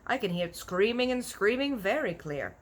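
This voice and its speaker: A woman's voice: medium pitch, big pitch swings, normal speed, pitched above the performer's own voice. A woman voicing a kindly, big-eared storybook giant who is earnest, proud and easily offended, talking in folksy broken grammar with invented words. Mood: emphatic. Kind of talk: animated children's storybook character voice.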